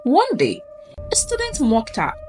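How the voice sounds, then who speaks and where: A high-voiced Nigerian woman tells a spooky folktale with great theatrical suspense. Her pitch rises and falls dramatically from phrase to phrase. Music plays softly behind her narration. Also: huge pitch swings, unhurried. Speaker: storyteller. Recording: music under the voice. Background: background music.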